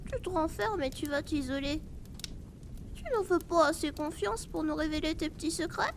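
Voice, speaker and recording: A high, girlish voice over clear rainy rumble: a caring young female friend played by a woman in an audio drama. She coaxes and teases gently. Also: normal speed. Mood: gently teasing. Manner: teasing, coaxing. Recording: rain and rumble behind the voice.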